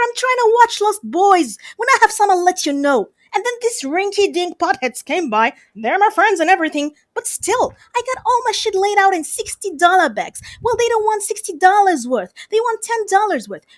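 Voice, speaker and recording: A woman's voice: high voice, no echo. A woman acting in an audio drama as a slangy, foul-mouthed undercover cop spinning a street story. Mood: exasperated. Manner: slangy, profane, exasperated storyteller. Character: male undercover cop rehearsing a foul-mouthed pot dealer's anecdote.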